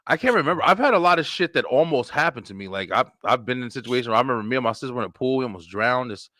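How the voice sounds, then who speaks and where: A man in his thirties from New Jersey, hosting a casual webshow chat and telling a story loudly, cursing and laughing as he goes. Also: mid-range voice, very fast, no echo, studio quality. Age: 30s. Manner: animated storyteller, profane, laughs while telling. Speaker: webshow host.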